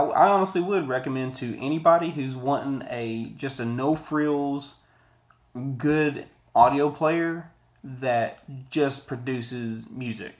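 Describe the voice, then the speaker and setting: An American man in his thirties gives a casual video product review in a conversational, rambling way, repeating words for emphasis, recorded in a small office. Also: mid-range voice. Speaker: audio gear reviewer. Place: small office room indoors.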